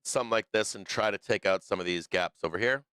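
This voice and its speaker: A low-pitched man with a bright, crisp voice talks through stock charts on a live stream, loose and thinking aloud with plenty of fillers and restarts. He is losing his voice, and the sound is close and dry with no room in it. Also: fast talker, no room sound. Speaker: stock market stream host. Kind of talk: live trading commentary over charts.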